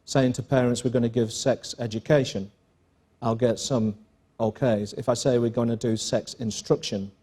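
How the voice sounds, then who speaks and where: English man, middle-aged, school principal type, mellow and measured, dry wit. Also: medium pitch, fairly even intonation, slightly rough. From England. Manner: measured, explanatory, dry jokes. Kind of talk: panel interview on stage. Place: conference stage.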